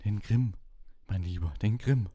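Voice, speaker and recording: A man's voice: on the low side, breathy edge, no echo, clean studio sound, voice pushed up. A man voicing a theatrical female fortune-telling teacher for a storybook, drawn out and full of pitying foreboding. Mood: dramatic doom. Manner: dramatic, drawn-out, hushed foreboding.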